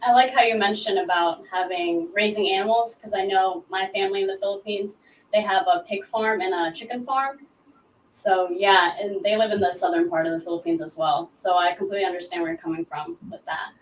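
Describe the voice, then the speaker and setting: Woman, interviewer, mid-pitched voice, distant from the mic, roomy echo. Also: fairly quick, slight background noise. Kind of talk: friendly interview questions. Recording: echoey room, voice away from mic.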